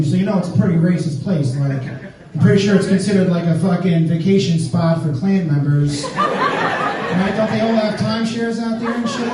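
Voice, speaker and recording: A man's voice: sits high, distant mic. A man from Staten Island doing a profane stand-up set on a club stage, riffing and quizzing the crowd. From Staten Island, New York. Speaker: stand-up comedian. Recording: echoey club, picked up from afar. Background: laughing audience.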